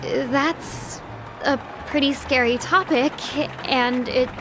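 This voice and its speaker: A sweet young-hearted street performer from a video game. Her voice is high, polite and earnestly expressive, with music behind it. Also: slow, poor audio. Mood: gossipy, hesitant.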